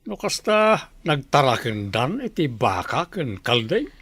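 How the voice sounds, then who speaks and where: A man's voice: mid-range voice, very animated, measured pace, a bit bright, breathy edge, no room sound, exaggerated voice. A man with an Ilocano accent playing a skeptical, ambitious village farmer in a radio comedy-drama. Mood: musing, scheming.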